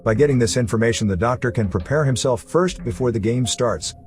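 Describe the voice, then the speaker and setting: A man's voice: mid-range voice, a little fast, faint music behind. A man reading scripted narration for a story recap video, as its narrator.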